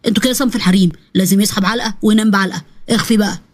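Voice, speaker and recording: A woman's voice: mid-range voice, steady tone, rapid-fire, pitched below the performer's own voice, close to an everyday voice. A woman doing a harsh, menacing Egyptian husband. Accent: Egyptian Arabic. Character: abusive, threatening Egyptian husband, adult man.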